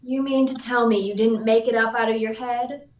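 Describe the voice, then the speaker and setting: A fairly high female voice from an actress playing a brash young reporter in an old radio serial. She is sardonic and needling, with clear room echo around a slightly distant voice. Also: fairly even intonation, normal speed, darkish tone, natural voice. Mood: sarcastic, skeptical. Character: brash, sarcastic young woman newspaper reporter. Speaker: actor in a live radio play. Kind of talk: 1940s radio serial acting. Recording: roomy, echoing, off the mic.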